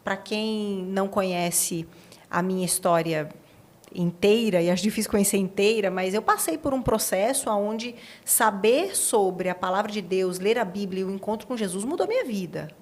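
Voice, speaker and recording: A woman's voice: medium pitch, animated, moderate pace. A Brazilian woman talking conversationally as the host of an interview podcast.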